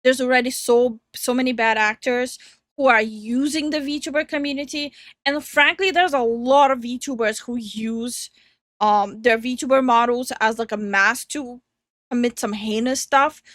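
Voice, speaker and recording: A young woman with a slight Indian English accent chats casually and is quick to laugh. Her voice is high and lively, and the recording is dry and close. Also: dry recording. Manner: giggly, animated, quick to laugh. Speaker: co-host reacting to online drama. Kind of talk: casual chat.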